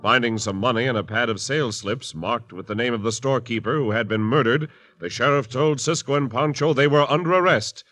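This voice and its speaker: A male announcer giving crisp scripted story narration for a 1950s radio western. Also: medium pitch, brisk, hardly any pauses, fairly bright tone, dry recording.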